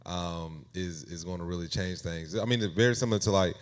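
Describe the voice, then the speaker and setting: A man speaking casually as an interview guest, thinking aloud in a halting, conversational way. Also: sits low, steady tone, smooth. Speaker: guest AI music creator.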